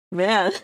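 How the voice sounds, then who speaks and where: A Californian woman hosting a read-along, reading a novel aloud and chatting about it in an informal, animated manner. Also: no echo. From California, United States. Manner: animated, informal, exclaiming asides. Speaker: host of a live read-along.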